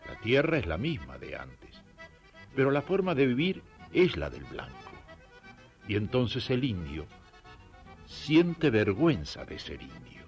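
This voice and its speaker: A man's voice: mid-range voice, huge pitch swings, unhurried, a little mellow, lo-fi sound, faint music behind. A man from Belgium narrating a personal testimony. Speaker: rural worker giving testimony.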